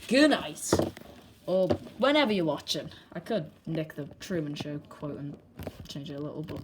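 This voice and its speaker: A woman's voice: on the low side, noisy background. A young woman, rambling and joking casually as a vlogger while filming fireworks at home on a handheld camera. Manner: rambling, self-deprecating, mild swearing. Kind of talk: casual vlog commentary.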